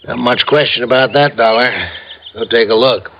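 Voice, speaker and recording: A man's voice: mid-range voice, normal speed, slight background noise, close to an everyday voice. Folksy American radio cop, played by a man, muffled recording. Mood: matter-of-fact. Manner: colloquial, emphatic, rambling storytelling. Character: folksy, talkative small-town male police sergeant. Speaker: supporting character actor. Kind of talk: classic American radio drama acting.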